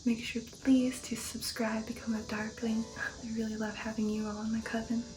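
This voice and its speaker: A woman reading an audiobook in ASMR style, in a soft breathy whisper, slowly and with dramatic deliberation. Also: fairly high pitch, little pitch movement, low-quality recording. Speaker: ASMR host and narrator. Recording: music under the voice. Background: music.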